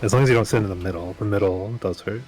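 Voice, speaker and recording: Quick-talking, matter-of-fact man. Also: on the low side, crowd noise. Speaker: experienced gamer guiding the group through a raid. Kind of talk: casual in-game chat. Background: game music.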